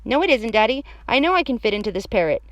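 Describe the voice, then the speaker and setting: A woman voicing an excitable, stubborn little girl for a children's audiobook, with emphatic, repetitive childlike phrasing. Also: a little high, fast, no room sound, dull audio. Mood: insistent.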